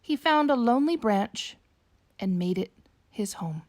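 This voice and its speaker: Woman reading a storybook, very animated. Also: fairly high pitch. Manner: slow, animated read-aloud delivery for young children. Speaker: teacher reading a picture book aloud to children. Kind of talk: expressive bedtime storybook narration.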